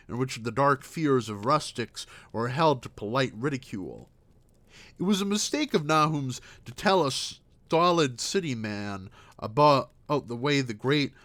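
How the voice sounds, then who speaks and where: A man's voice: medium pitch, a bit bright, no room sound. A man reading gothic horror prose aloud as a storyteller, dramatically, now and then stumbling over a word and correcting himself. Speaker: host reading horror stories aloud on a livestream.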